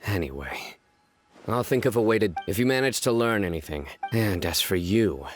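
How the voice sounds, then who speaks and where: An actor playing a cold, commanding male investigator in a video game, speaking in a measured, clipped way. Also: on the low side, animated, soft background music, close to an everyday voice. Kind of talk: game voice acting.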